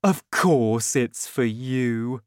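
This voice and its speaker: A woman's voice: low-pitched, very animated, slow pace, no room sound, studio quality, voice pushed up, full character voice. A woman voicing a sly, scheming father in a storybook, coaxing and falsely sweet.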